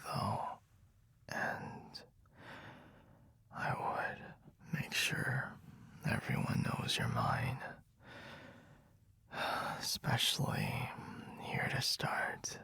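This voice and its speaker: A man's voice: huge pitch swings, very slow, pauses often, sharp tone, full character voice. A man playing a whispering, jealous best friend. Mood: seductive, teasing.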